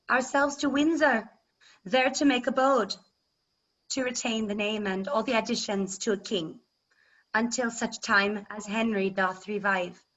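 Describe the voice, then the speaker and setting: A woman's voice: medium pitch, fairly bright tone. A woman playing a resolute, grieving English queen in classical verse over a video call. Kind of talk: classical verse stage acting. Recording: muffled video-call audio.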